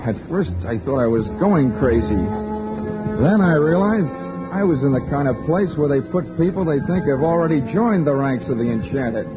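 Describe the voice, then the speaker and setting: A man's voice: fairly high pitch, animated, normal speed, natural voice. An actor playing a wisecracking, hard-boiled male insurance detective, with dry, sardonic 1940s radio delivery. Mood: wry. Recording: muffled old radio recording with music behind.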